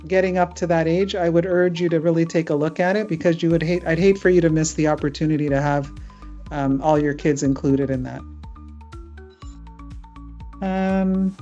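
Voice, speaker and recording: Middle-aged woman host, low voice, chatty and informal, muffled video-call sound. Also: fairly even intonation, normal speed, dark tone, faint music behind. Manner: chatty, with frequent fillers and laughs. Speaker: immigration lawyer hosting a webinar. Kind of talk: casual webinar talk.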